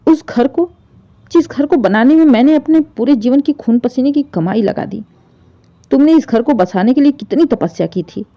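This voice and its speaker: A woman's voice: sits high, expressive, moderate pace, voice pushed up. A woman voicing an elderly widowed father in a moral story, wounded, proud and resolute, speaking with emphatic feeling. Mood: grieving, hurt. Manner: emphatic, emotional pleading and scolding. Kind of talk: dramatised Hindi moral story. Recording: dull, muffled lo-fi audio.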